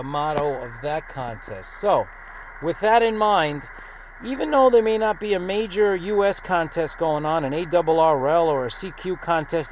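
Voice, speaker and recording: Guy doing a ham radio vlog, voice a bit high, pretty noisy recording. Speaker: host of an amateur radio channel. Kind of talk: vlog narration with radio call-sign operating.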